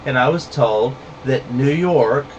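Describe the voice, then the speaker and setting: A man's voice: mid-range voice, takes it slowly, recorded from a distance, lo-fi sound, a little hiss or hum. A man with a Southern US accent, ranting bluntly and saltily to camera in a heated monologue. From rural southern United States. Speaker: vlogger.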